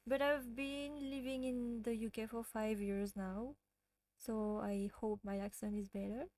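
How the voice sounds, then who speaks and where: A woman's voice: fairly high pitch, steady tone, a little slow, darkish tone, no echo, rough recording. A woman from France with a French accent, hosting a casual livestream and chatting with viewers, often giggling. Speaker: livestream host.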